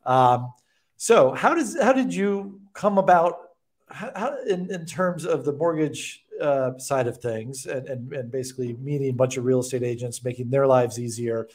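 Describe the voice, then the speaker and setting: An American man in his forties speaks as a podcast host. His pitch sits in an average range for a man. He interviews in an informal, conversational style, close to a desk microphone in a room at home or office. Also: normal speed. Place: indoor room with bookshelves, on a video call. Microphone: desk microphone close to the mouth.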